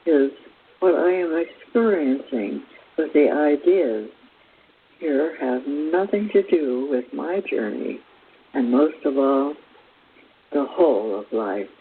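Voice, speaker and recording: Slow-talking man on a tinny, hissy line. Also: a little high. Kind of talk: spiritual lecture. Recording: hissy, tinny phone-like recording.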